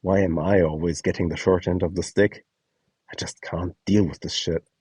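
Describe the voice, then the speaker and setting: A man's voice: low-pitched, steady tone, moderate pace, a little mellow, breathy edge, dry recording, recorded from a distance. A man reading a scripted instructional guide, acting out short example lines as he goes. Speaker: instructor presenting a voice donation guide.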